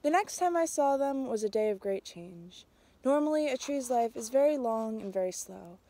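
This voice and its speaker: A young adult woman reading a picture book aloud outdoors, with a measured, expressive storytelling delivery. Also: on the high side. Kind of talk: storybook read-aloud, narrated as a tree. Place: outdoors in snowy winter woods.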